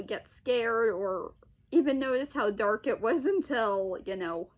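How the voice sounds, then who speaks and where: A young woman hosting a casual, unscripted commentary, halting as she repeats and rephrases, with exclamatory reactions. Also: mid-range voice, fairly bright tone, low-quality recording. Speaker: online video host.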